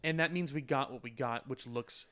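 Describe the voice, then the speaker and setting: A man's voice: mid-range voice, normal speed, fairly bright tone. A man co-hosting a podcast in casual discussion, explaining his points with lists of examples. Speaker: podcast co-host. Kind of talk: casual discussion of films and effects.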